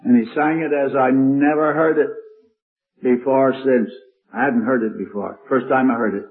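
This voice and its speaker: A man's voice: mid-range voice, steady tone, normal speed. An older man preaching with a strong, carrying voice, telling stories in an old-time sermon. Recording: tinny lo-fi archival recording.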